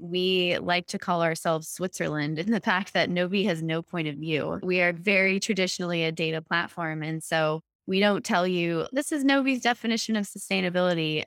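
A woman founder being interviewed, explaining her company's platform in a fluent, steady pitch. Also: smooth, dry recording, clean studio sound. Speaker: startup founder. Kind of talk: business interview.

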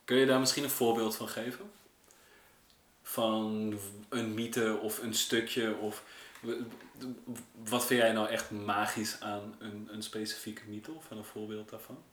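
Male podcast interviewer, bright and forward tone, casual, searching delivery, noticeable room echo. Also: fairly low pitch, little pitch movement, unhurried. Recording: roomy, echoing space.

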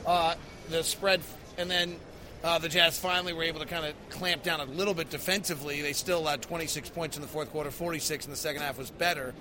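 A man's voice: a little high, fairly even intonation, quick pace, background noise. An American man hosting a basketball post-game podcast, giving emphatic analysis and stressing the key figures. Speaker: sports podcast host.

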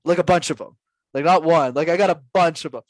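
A young man in his twenties speaks as a guest on a podcast, pressing his points with rhetorical questions and repeated emphasis. His voice sits a little high for a man. The sound is dry and close, with no room around it. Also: quick pace, no room sound. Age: 20s. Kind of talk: interview answers, motivational.

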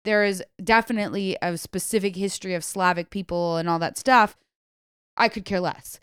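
Smooth-voiced woman giving frank film opinions. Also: mid-range voice, steady tone, moderate pace, a bit bright, no room sound, studio quality. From Serbian family. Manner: candid, opinionated, self-correcting. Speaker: film podcast co-host. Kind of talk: casual movie review chat.

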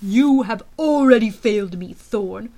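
A woman voicing a brutal, imperious male general with a strong, growling, forceful delivery. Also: mid-range voice, measured pace. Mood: furious. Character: booming, brutal, commanding giant male general.

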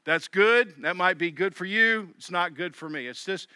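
A middle-aged pastor giving a sermon in a conversational way, often voicing the thoughts of others. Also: male voice, sits high, normal speed, sharp tone, smooth, no echo, clean studio sound. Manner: conversational preaching with quoted inner voices.

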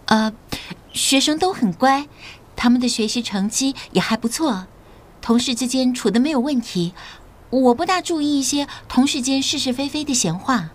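Young female schoolteacher, very high voice, animated intonation, modest, respectful, earnest, radio drama. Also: moderate pace. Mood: polite, nervous. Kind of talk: audio drama acting.

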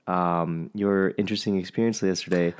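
Deep-voiced, dry, sniffly young man. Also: fairly even intonation, normal speed, smooth. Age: young adult. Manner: dry, teasing, laid-back. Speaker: podcast co-host. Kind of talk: casual podcast chat.

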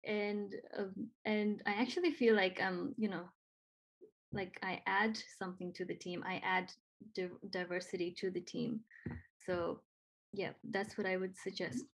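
A woman's voice: mid-range voice, flat delivery, measured pace, rough recording. A woman from Nepal who speaks English with a Nepali accent, talking earnestly and encouragingly with frequent ums and repeated phrases. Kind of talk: casual conversation.

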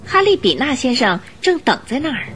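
Woman, radio drama narrator, scripted storytelling, expressive, very varied pitch, soft music behind. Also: fairly quick.

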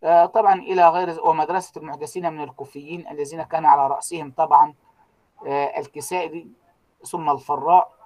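A man giving an online university lecture, trailing off so students fill in the words, with frequent drawn-out fillers. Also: a little high, steady tone, a little mellow, low-quality recording. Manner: keeps quizzing students with unfinished sentences, many drawn-out fillers.

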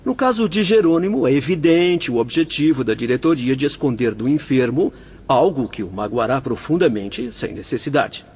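An actor playing a young spirit doctor in a radio drama, explaining things formally and patiently. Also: male voice, medium pitch, expressive, normal speed, darkish tone, lo-fi sound, a little hiss or hum, lightly acted. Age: young adult. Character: calm, instructive young spirit doctor and hospital director.

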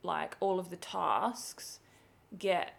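A woman's voice: on the low side, very slow, creaky. A young woman from England, her accent half English and half Australian, co-hosting a podcast in a chatty, laughing way. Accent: English with some Australian. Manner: chatty, laughs often, many likes and ums. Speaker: podcast co-host. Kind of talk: casual chat.

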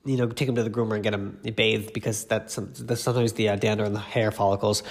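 A man's voice: fairly low pitch, flat delivery, fast. A man hosting a casual solo pop culture podcast, gushing and effusive, rambling off on asides and sounding congested from allergies.

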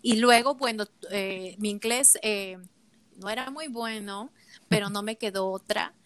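A woman's voice: mid-range voice, measured pace, fairly bright tone. A woman guest with a Latin American Spanish accent, a native Spanish speaker, talking thoughtfully in an interview with many fillers. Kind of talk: casual interview chat.

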